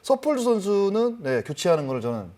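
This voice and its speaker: A man's voice: high voice, sing-song, fairly quick, warm tone. A man speaking off the cuff as a baseball analyst in a panel discussion. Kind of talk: unscripted sports panel talk.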